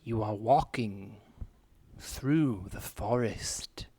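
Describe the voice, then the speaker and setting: Man acting as solemn male hypnotist, extremely slow, hushed and portentous, long dramatic pauses, dry close sound, comedy podcast meditation. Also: lowish voice, breathy edge, no echo, exaggerated voice. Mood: solemn, dreamy.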